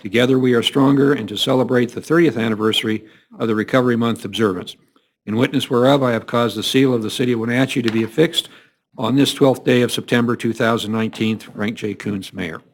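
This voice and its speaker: A city councilmember reads a formal proclamation aloud at a council meeting. His reading is steady and measured, with small slips. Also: medium pitch, slight background noise.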